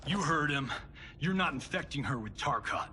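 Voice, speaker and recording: Cocky, lively American wisecracking hero. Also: male voice, on the high side, normal speed, slight background noise. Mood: flippant, uneasy. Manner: flippant, sardonic, casual. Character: cocky wisecracking male action-movie star hero. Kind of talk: video game cutscene acting. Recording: music behind the voice. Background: music.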